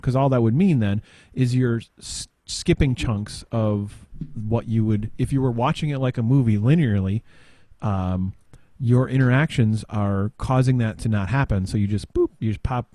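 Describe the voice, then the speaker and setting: Dry-sounding man, halting and chatty. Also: mid-range voice, warm tone, no echo. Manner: rambling, halting explanations with trailing pauses. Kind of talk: casual podcast chat.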